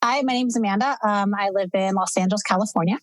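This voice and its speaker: A woman's voice: mid-range voice, fast talker, no room sound. A woman in her forties introducing herself as a podcast guest, hesitant with many fillers but grateful in tone. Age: 40s. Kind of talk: casual introduction.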